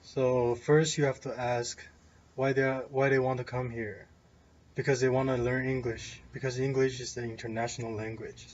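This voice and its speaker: A nineteen-year-old man from Taiwan, a native Chinese speaker with a Taiwanese accent, answering interview questions in halting English with restarts. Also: medium pitch, flat delivery, a little mellow, highs cut off.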